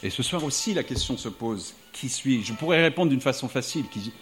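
A man's voice: medium pitch, animated, fairly quick, soft background music. Reflective middle-aged man giving a talk. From United States. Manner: reflective, thinking aloud. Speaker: speaker, diplomat and writer. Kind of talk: public talk to a live audience. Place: evening talk before an audience.

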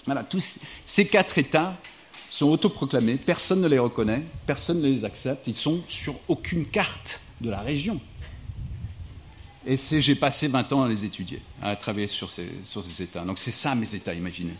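A man's voice: mid-range voice, moderate pace, a little hiss or hum. A middle-aged man from the United States, a diplomat and writer, speaking thoughtfully to a live audience in an evening talk. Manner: reflective, thinking aloud.

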